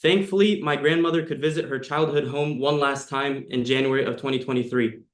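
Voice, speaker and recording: A man's voice: medium pitch, steady tone, fairly quick. A young man with an American accent giving an earnest, prepared endorsement speech. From United States. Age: young adult. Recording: roomy, echoing sound.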